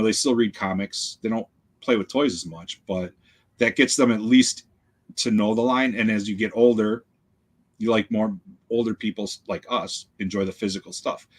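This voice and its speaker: A middle-aged man with a fairly low voice, talking in a relaxed, rambling way. He hosts a casual chat from his home collection room and often trails off with "so". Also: husky. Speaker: podcast host.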